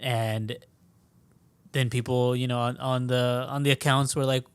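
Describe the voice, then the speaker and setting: A man's voice: medium pitch, flat delivery, a little slow, clean tone, dry recording. A man co-hosting a podcast in casual chat, laid-back, mimicking complaining followers. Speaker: podcast co-host.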